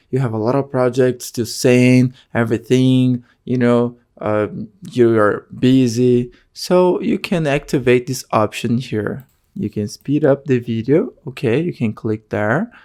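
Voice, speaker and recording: A man's voice: medium pitch, darkish tone. Man teaching an online course. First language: Portuguese. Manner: keeps checking in with "okay?"; non-native phrasing, frequent fillers. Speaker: course instructor.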